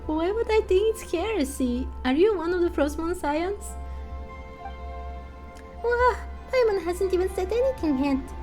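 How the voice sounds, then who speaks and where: Woman voicing a squeaky, childish fairy companion. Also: lively intonation, a little slow, warm tone, soft background music. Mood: puzzled. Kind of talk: fan voice-over of video game dialogue.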